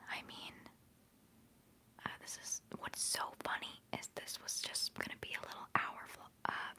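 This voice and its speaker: A young woman hosting a livestream, whispering softly as she rambles through chat messages and answers viewers fondly, holding back tears. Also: slow pace, pauses often. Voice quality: soft whispering voice. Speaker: livestream host.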